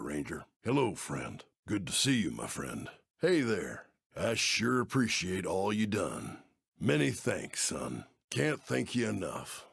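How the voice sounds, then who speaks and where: A man's voice: fairly low pitch, gravelly, slightly rough, no room sound, clean studio sound, exaggerated voice. A man playing a folksy, aging, kindly but worried Old West sheriff in a video game, with an American Western accent and neighborly, drawling speech. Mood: friendly, grateful. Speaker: mission-giving game character. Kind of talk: video game character acting.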